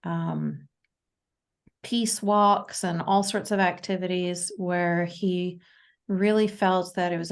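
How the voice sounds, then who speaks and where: A Canadian woman in her forties, a guest speaking in a casual interview, talking haltingly with many fillers and restarts. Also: fairly low pitch. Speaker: podcast guest.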